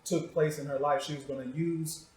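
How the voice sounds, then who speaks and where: A male show host with a somewhat high voice speaks in a conversational interview style. He is direct and probing in his delivery. The room around him rings with a clear echo. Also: steady tone, moderate pace. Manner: probing, short direct questions and prompts. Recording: echoey room.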